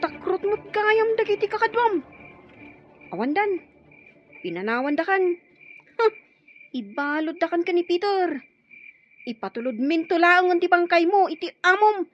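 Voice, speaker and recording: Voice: extremely high pitch, sing-song, a little slow, pauses often, lo-fi sound, a little hiss or hum, full character voice. An actor with a Filipino accent playing a tough, wary and loyal armed friend in a radio drama. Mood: taunting, threatening.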